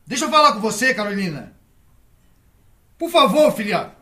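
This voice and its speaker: Man as exasperated father, insistent and repetitive; bright, very lively pitch. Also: normal speed, full character voice. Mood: pleading. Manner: insistent, repeating the name over and over. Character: exasperated father pleading at a locked door. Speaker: father and teacher.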